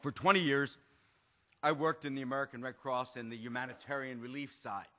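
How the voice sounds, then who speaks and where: A man shares his perspective on a conference panel in a conversational, reflective manner. His voice is bright and forceful. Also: medium pitch, fairly even intonation, a little fast.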